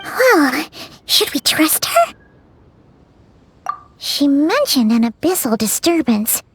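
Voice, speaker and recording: A tiny, loyal fairy sidekick with an extremely high, childlike voice. The delivery is excitable and chatty, swooping widely in pitch, in an anime game style. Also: female voice, slow, a little hiss or hum, heavily acted. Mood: worried, pondering. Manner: speaks of self in third person, exclamatory, hesitant asides.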